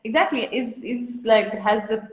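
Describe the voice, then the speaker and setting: A woman's voice: on the high side, moderate pace. A woman from India in her thirties, a guest artist chatting frankly and at length on a video call, laughing often. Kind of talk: casual interview chat. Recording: echoey room.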